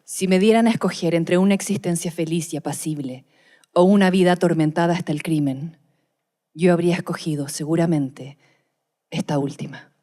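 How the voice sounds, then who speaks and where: A frightened young wife in a radio play, voiced by a woman. She speaks low and mellow, measured and haunted, with defiance under the dread. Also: close to an everyday voice. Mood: defiant. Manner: measured, dramatic, haunted delivery. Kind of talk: Spanish-language radio play, diary monologue.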